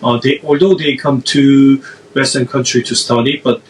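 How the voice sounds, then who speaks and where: A man with a Korean accent speaks as a podcast guest, in a mid-pitched voice. He pauses and restarts his phrases often as he answers. He sounds distant from the microphone, with a faint hum of room noise behind him. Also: fairly even intonation. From South Korea. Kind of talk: casual interview answers.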